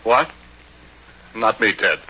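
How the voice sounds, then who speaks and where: An actor playing a rough, working-class oil rig roughneck who tells his haunted tale in folksy, ungrammatical speech with hesitant pauses, in 1940s radio drama style. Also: lowish voice, expressive, bright, forceful tone, exaggerated voice. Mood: defensive, alarmed. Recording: muffled vintage 1940s radio broadcast, music underneath.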